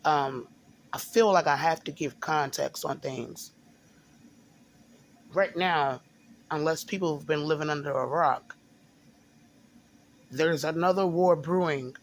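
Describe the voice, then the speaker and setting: Guy, bit high, mildly sore throat; halting, repetitive delivery, lots of fillers. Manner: halting, repeats words, many fillers. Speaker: video blogger. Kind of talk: casual monologue to camera.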